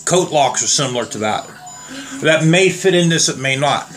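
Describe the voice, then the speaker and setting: A slightly hoarse man talking casually and rambling as he tests trigger locks on guns for his viewers. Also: on the high side, animated, normal speed, fairly bright tone, faint music behind. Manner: rambling, plain-spoken, addresses viewers as guys. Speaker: gun reviewer. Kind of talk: casual demo vlog.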